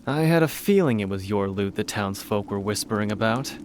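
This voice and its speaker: A man playing a calm, caring young lord in a video game, speaking in a composed, formal and measured way. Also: on the low side, a little hiss or hum, lightly acted. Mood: solemn.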